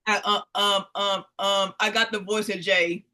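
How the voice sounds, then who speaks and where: A young woman hosting a casual interview, speaking haltingly with many fillers and repeated words. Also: medium pitch, level tone, bright, forceful tone. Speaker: interview host. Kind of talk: casual video-call interview.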